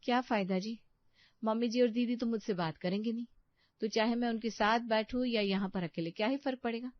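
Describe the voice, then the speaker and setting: A woman's voice: a little high, fairly quick, dry recording, muffled recording, natural voice. A woman voicing a naive, eager young new bride who is easily slighted, in a family storybook tale. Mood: sulky, hurt.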